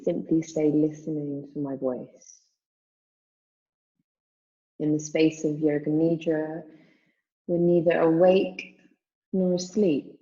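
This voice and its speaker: Very slow, low-voiced woman guiding meditation. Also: muffled recording. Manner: calm, soothing, measured. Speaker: yoga nidra meditation teacher. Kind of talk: guided meditation, spoken slowly with long pauses.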